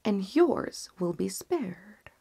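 A woman voicing a doomed male court physician who pleads in a hushed, breathy way. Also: mid-range voice, expressive, unhurried. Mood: begging.

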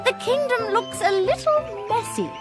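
A woman's voice: very high voice, expressive, unhurried. A woman voicing Millie, a sweet young farm girl puppet in a children's show. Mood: cheerful. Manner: sing-song, warm and gently enthusiastic. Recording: studio voice over music.

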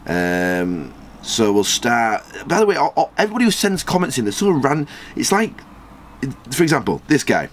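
A man in his thirties chats his way through a football management game, reading comments aloud. His pitch swings up whenever something surprises him. A faint background hum sits under the recording. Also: sing-song. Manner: chatty, rambling, quick jumps in pitch when surprised. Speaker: gaming channel host.

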